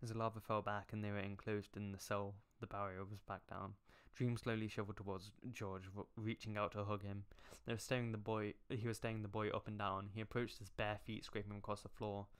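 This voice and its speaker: Low-voiced sarcastic man reading aloud. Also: level tone, brisk, hardly any pauses, clear, resonant tone. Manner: sarcastic, stumbling over words. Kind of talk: mocking fan fiction read-aloud with asides.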